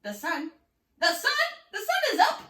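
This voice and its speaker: A whiny male cat from a children's storybook, acted out by a woman. The voice is high and swings widely in pitch with theatrical complaint. It sounds fussy and dramatic, picked up from far off. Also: wildly swooping pitch, unhurried, fairly bright tone, heavily acted. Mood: panicked. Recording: echoey room, distant mic.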